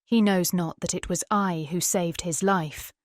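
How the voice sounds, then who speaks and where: A woman's voice: on the low side, fairly even intonation, unhurried, no echo, clean studio sound, lightly acted. A woman voicing a young mermaid in a fairy tale audiobook, tender, devoted and sorrowful, speaking earnestly in British English. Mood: wistful sorrow. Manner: earnest, wistful.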